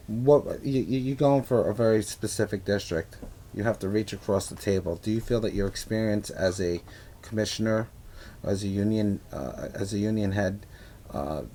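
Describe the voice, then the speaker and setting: A man hosting a local interview show, asking questions casually with some halting fillers. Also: fairly low pitch, warm tone, a little hiss or hum. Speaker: host. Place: a guest's home.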